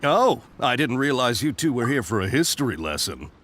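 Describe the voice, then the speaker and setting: An actor playing a jovial, quirky, chatty technician in a video game, with a jaunty, theatrical manner. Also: male voice, medium pitch, sing-song, normal speed, very noisy, lightly acted. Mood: jovial, explanatory. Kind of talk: animated video game voice acting.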